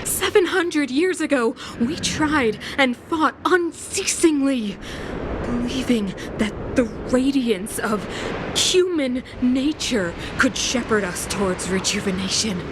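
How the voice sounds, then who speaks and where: Villainous female sovereign: slow, theatrical, commanding, cold zealot; video game cutscene over noisy ambience. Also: high voice, lively intonation. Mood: impassioned, fanatical. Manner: theatrical, imperious, deliberate oratory. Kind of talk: dramatic video game voice acting.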